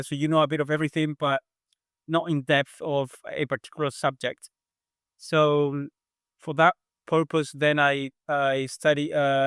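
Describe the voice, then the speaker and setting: Spanish-accented man, smooth steady voice. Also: on the high side, no echo, studio quality. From southern Spain. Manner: measured, thoughtful, with many hesitations. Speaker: aerodynamics engineer, guest. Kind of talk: interview answers.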